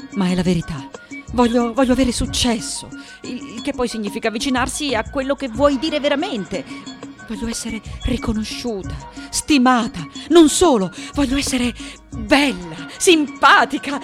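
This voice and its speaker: Elderly female sculptor for radio drama: highish voice, swooping intonation, irreverent, confessional, restless. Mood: fervent, insistent. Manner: confessional, self-correcting, emphatic repetitions, dramatic pauses. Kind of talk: theatrical radio monologue.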